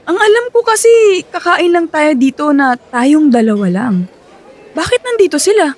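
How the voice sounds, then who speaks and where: A young girlfriend in a Filipino radio drama. Her voice is high and plaintive. She sounds fretful and full of doubt, though trusting toward the man she loves. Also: very animated, normal speed, some chatter behind. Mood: surprised, suspicious.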